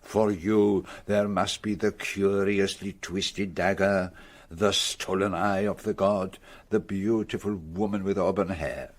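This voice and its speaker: An actor playing an ageing, vain Belgian gentleman detective with a French-speaking Belgian accent, precise and theatrical in a radio play. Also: deep voice, fairly even intonation, slow. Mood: wry, relishing. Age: older. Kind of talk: radio drama acting.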